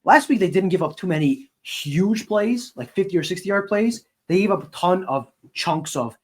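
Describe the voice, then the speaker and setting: A man's voice: sits high, lively intonation, moderate pace. An American man co-hosting a podcast, speaking in a casual sports-analysis style.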